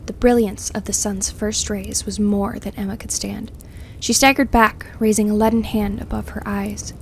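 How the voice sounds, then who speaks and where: Female storyteller, higher register, dramatic and suspenseful delivery, some background noise. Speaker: story narrator. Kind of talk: dramatic scripted fiction narration.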